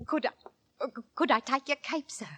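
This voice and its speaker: A woman's voice: sits high, fairly quick, sharp tone. An actress playing an anxious, scrimping working-class landlady, fussy and colloquial, in 1940s radio drama style. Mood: polite, deferential. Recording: vintage 1940s radio broadcast recording.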